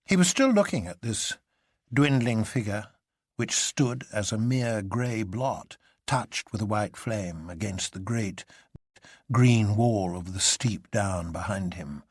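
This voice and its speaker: A man's voice: lowish voice, lively intonation, slow pace, no room sound, studio quality. A man narrating a literary story in a dramatic audiobook style.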